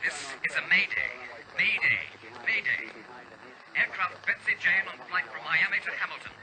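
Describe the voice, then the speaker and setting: An actor playing a panicked pilot of a small plane in a radio drama, making urgent, terse distress calls. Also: male voice, high voice, lively intonation, normal speed, sharp tone, heavily acted, rough recording. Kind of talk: old-time radio drama acting.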